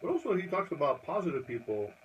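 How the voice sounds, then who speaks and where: A man co-hosting a podcast who asks questions in a lively, prompting way. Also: fairly high pitch, muffled recording, a little hiss or hum. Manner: lively and prompting, with quick laughs. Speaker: podcast co-host. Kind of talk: interview questions.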